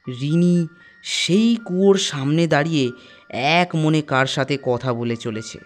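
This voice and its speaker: A man's voice: a little high, a little mellow. A man narrating a scripted ghost story for an animation, as a storyteller.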